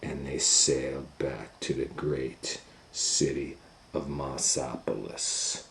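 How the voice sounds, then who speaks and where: A man hosting storytime, reading a children's story aloud in a theatrical way with dramatic pauses and swells. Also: very deep voice, vocal fry, fairly bright tone. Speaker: storytime reader and host. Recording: roomy, echoing sound.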